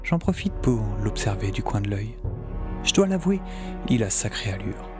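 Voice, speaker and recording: An actor playing a wry scientist who narrates his own adventure in the first person, in a measured, confiding way. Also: lowish voice, very animated, music in the background. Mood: wry, observant.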